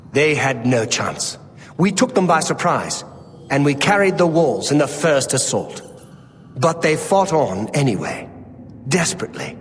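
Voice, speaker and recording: A haunted undead cultist in a fantasy game, full of regret. His voice sits a little high and is earnest and dramatic. It rings with long reverb. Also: a little hiss or hum. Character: regretful male undead cultist, once a raider, pleading. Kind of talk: theatrical fantasy game dialogue. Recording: reverberant hall, faint music behind.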